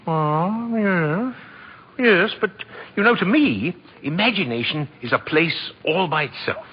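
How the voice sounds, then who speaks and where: A man's voice: on the high side, lively intonation, unhurried, slightly rough. An older male actor in a 1940s radio drama playing a kindly, whimsical grandfatherly man who claims to be Santa, exclamatory and coaxing, often repeating himself. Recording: dull, noisy 1940s radio recording with long reverb.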